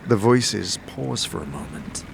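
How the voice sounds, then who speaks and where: A man giving scripted fantasy narration in a dramatic, measured storytelling manner. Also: lowish voice, expressive, vocal fry, slight background noise. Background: music.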